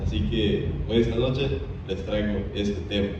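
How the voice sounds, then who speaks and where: A man's voice: fairly low pitch, normal speed, background noise. Man lecturing in an echoey church. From Belize. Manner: frequent "eh" hesitations. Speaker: theology student teaching a church seminar. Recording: echoey church from a distant, muffled mic.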